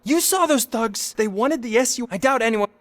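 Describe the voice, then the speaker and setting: High-voiced uneasy young petty crook. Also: male voice, animated, moderate pace, fairly bright tone, no echo. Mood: defensive. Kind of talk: video game voice acting.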